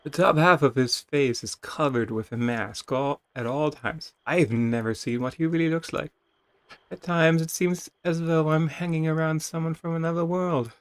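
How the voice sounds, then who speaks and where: A man with a slightly high voice reads video game narration aloud in a dramatic but playful way. He slips into casual asides and sometimes trips on a word. The sound is close and dry, with very little room. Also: moderate pace, smooth, dry recording. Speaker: video game streamer reading game text aloud.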